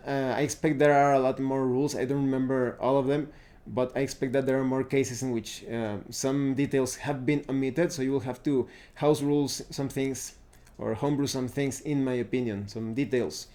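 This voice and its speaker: A man giving a casual, unscripted review of roleplaying games, pausing now and then to search for words. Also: mid-range voice, mellow. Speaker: tabletop roleplaying game reviewer.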